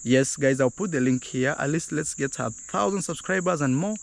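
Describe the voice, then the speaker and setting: A man's voice: a little high, normal speed, gravelly, no echo. A man hosting a casual travel vlog outdoors, speaking to viewers as "guys". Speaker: vlogger host. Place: outdoors on a road near a beach.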